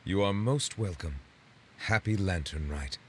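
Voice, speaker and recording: An actor playing a courteous, solemn dignitary in a video game, speaking in formal, measured, ornate phrases. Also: male voice, deep voice, fairly bright tone, slight background noise. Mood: earnest, reflective. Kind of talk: video game dialogue acting.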